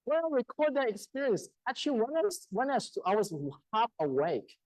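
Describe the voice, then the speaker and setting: A man from China in his thirties, whose first language is a Chinese dialect, telling a personal testimony in church in a halting, storytelling way with frequent laughter. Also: very high-pitched, sing-song, darkish tone, dry recording, poor audio. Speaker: church speaker. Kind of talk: testimony sermon. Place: church hall.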